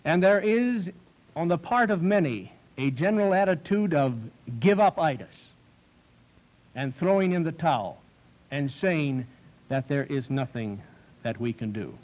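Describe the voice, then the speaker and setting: A man in his forties, a pastor speaking in an earnest, measured way to farmers from a desk in a small studio. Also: a little high, expressive. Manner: slow, earnest, measured delivery. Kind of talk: televised address to farmers. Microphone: desk stand mic. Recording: dull 1960s film soundtrack.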